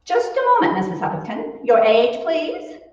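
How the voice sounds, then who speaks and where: A woman's voice: fairly high pitch, huge pitch swings, moderate pace, darkish tone. A woman playing a sensible, wry, folksy wife in 1940s-style radio comedy. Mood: patient, dry. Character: sensible, wry wife helping at polling place. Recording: echoey hall, distant mic, dull sound.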